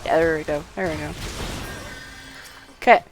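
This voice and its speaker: A woman's voice: low-pitched, fairly even intonation, normal speed, over music, rough recording. A woman giving casual live gameplay commentary in short bursts, muttering to herself and at the enemies. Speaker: game streamer.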